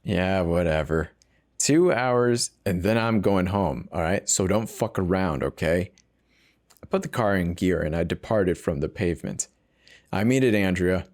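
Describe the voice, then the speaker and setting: A man's voice: low-pitched, unhurried, a little mellow. A man narrating a first-person horror story in a dramatic scripted reading, with a weary edge. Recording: clear noise bed behind the voice.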